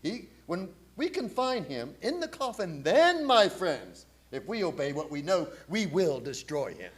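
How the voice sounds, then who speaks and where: A man playing an authoritative professor leading vampire hunters in a radio drama, emphatic and commanding, with dramatic pauses. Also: high-pitched, sing-song. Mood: solemn resolve.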